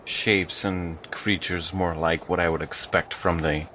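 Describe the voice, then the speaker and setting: Male tabletop player, deep voice, casual and hesitant, thinking aloud, slightly muffled recording. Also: steady tone, unhurried, sharp tone, slight background noise. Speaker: player in a tabletop role-playing game. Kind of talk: casual game talk, explaining his character's actions.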